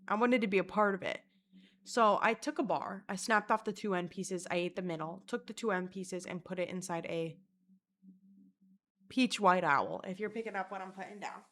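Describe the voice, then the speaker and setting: Crude, rambling woman vlogger. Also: mid-range voice. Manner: crude, profane, rambling storyteller; self-mocking and animated, bursts of loud laughter. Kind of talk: casual storytelling vlog.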